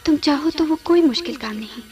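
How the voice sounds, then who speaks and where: An actress in a radio play playing a sorrowful, seductive female ghost, pleading in slow, half-whispered phrases. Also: high-pitched, animated, warm tone. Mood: yearning, pleading. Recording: music under the voice.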